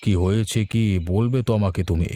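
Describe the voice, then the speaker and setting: A man's voice: on the low side, fairly quick, dark tone, natural voice. A man playing a righteous, protective village headman in a fairy-tale cartoon, firm but kindly in manner. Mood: concerned. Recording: background music throughout.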